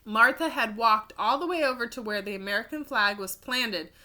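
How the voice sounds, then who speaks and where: A woman reading a children's story aloud expressively and deliberately, like a teacher addressing young listeners. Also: a little high, bright, forceful tone.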